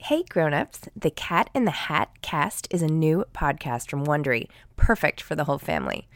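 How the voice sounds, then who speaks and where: A woman's voice: low voice, big pitch swings, normal speed, no room sound, clean studio sound. A woman reading a scripted promotional spot for a family podcast. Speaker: podcast advertisement reader.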